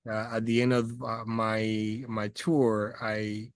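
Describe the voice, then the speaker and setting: Give me a hesitant man, speaking in a low, flat voice. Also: slow, clean tone, no echo, clean studio sound. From Dominican Republic. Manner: hesitant, many "uh" fillers and restarts. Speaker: guest. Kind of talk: casual interview chat. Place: outdoors by a house among trees. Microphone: video call.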